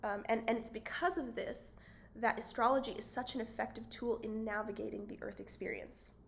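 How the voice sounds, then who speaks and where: A woman hosting her own channel in a casual, chatty vlog, her voice raspy and hoarse, with frequent fillers and little laughs. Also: fairly high pitch, fairly even intonation, fairly quick, a little hiss or hum. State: hoarse, voice cutting out. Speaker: channel host. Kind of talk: casual spiritual vlog talk.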